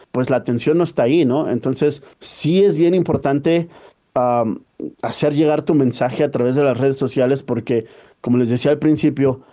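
A man's voice: medium pitch, warm tone. A man, talking earnestly in a casual panel discussion and often repeating words as he searches for them. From Mexico.

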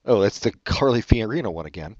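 A man with a lowish voice riffs sarcastically through silly online questions, his pitch leaping and dropping all over. The sound is muffled and lo-fi, close and dry with no room echo. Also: fast talker. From California. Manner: sarcastic, deadpan jokes with sudden pitch jumps. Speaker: co-host reading online questions. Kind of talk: casual comedic banter. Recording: dull, muffled lo-fi audio.

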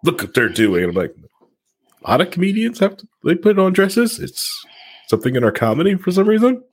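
A man chatting casually and giving his opinions in an animated way. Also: high voice, brisk, breathy edge. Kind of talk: casual chat.

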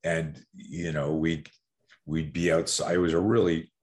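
A man in his sixties, from Canada, with a cavernously deep voice. He tells his stories as a podcast guest in a loose, animated way, often looping back and starting his sentences over. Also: a little slow. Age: 60s. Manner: animated, rambling storyteller with many restarts. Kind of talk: casual podcast interview.

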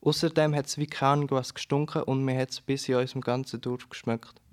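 A teenage boy with a Swiss German accent hosts a student radio piece. His voice is of middling pitch and he presents from prepared lines. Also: level tone, moderate pace. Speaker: student host.

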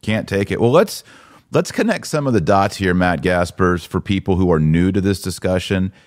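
A man's voice: low-pitched, lively intonation, normal speed. A man hosting a podcast, giving religious commentary in a casual conversational style with an emphatic, indignant manner. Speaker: podcast host.

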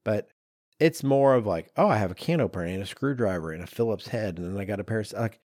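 Low-voiced man chatting casually, dry close sound. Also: lively intonation, fast, darkish tone, dry recording. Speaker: podcast co-host. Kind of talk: casual conversational discussion of design objects.

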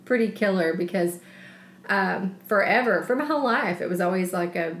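A woman co-hosting a casual podcast, talking in a loose, chatty way and often laughing at herself. Her voice sits at an ordinary pitch for a woman. The room around her is roomy and echoey. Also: normal speed, a little hiss or hum. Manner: chatty, laughs easily, self-mocking. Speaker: podcast co-host, chef. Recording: roomy echo.

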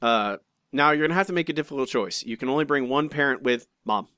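A man's voice: medium pitch, quick pace, smooth, dry recording. Dry, chatty podcast host man. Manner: chatty and animated, many restarts and asides. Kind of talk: casual podcast chat, reading listener comments.

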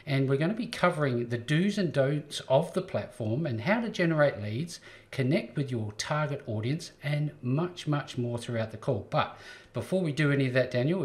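Man, show host, upbeat, encouraging, asks questions. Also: mid-range voice. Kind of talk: interview hosting.

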